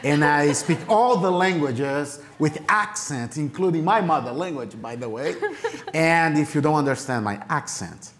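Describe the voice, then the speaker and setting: A man with a Brazilian Portuguese accent presents to a church congregation, his English that of a Portuguese native speaker. His voice sits a little higher than most men's, and he talks in a joking, self-deprecating way about his own accent. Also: lively intonation, normal speed, fairly bright tone. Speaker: missionary pastor.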